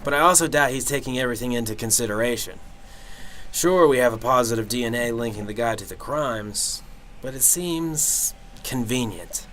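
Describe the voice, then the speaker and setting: A man's voice: medium pitch, animated, moderate pace, a bit bright, a little hiss or hum, lightly acted. A man playing a cynical, sarcastic detective in an amateur radio drama, with a blunt, grumbling delivery. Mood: suspicious. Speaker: actor.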